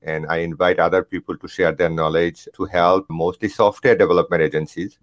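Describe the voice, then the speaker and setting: Deep-voiced male host, dry sound. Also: no echo, dull audio. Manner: friendly, fillers, addresses guest as Bhai. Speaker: host of a blog interview series. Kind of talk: interview hosting.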